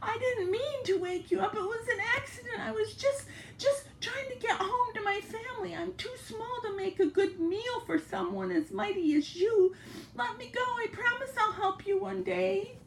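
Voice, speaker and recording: A grandmother voicing a tiny terrified male mouse who pleads in a squeaky, trembling voice. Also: normal speed, gravelly. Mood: pleading. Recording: echoey room, faint background noise.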